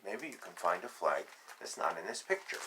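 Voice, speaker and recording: A man reading a picture book aloud to children, deliberate and emphatic in short phrases, with chatty, playful asides. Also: sits low, very animated, noisy background.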